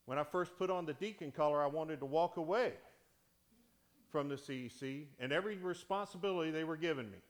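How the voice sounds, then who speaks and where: Deacon preaching with a big voice. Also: male voice, fairly high pitch, a little fast. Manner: animated preaching, swings from loud to hushed. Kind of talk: sermon. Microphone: wearing a microphone.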